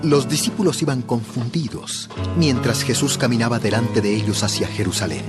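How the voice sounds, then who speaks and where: A man narrating a dramatized audio Bible as a scripted, clearly phrased story. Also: mid-range voice, normal speed. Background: music.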